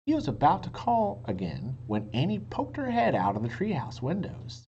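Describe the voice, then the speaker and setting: Low-voiced woman reading, highly animated. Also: moderate pace. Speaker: read-aloud teacher for children. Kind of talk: expressive children's storybook narration. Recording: muffled sound with faint noise.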